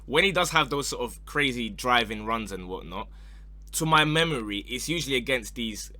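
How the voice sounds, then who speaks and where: A man leads a casual football discussion. His voice is bright and forceful at a middle pitch. He talks in slangy, teasing turns as a host steering a panel. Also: expressive, normal speed. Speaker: podcast host.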